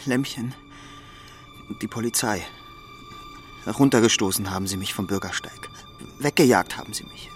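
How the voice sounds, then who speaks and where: A man playing a humiliated, timid young husband in a radio drama, speaking despairingly in short, halting phrases. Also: on the low side, lively intonation, soft background music, close to an everyday voice. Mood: shaken, ashamed. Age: young adult.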